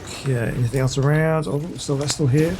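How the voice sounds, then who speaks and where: A man's voice: medium pitch, fairly even intonation, a little fast, background noise. A man giving casual gameplay commentary, muttering to himself as he plays. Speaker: gaming video host.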